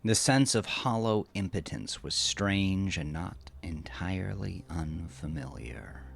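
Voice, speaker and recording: A man narrating scripted horror fiction in a measured, literary, dramatic way, dropping at times into a hush. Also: low voice, animated, gravelly. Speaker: audiodrama narrator. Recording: music under the voice. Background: music.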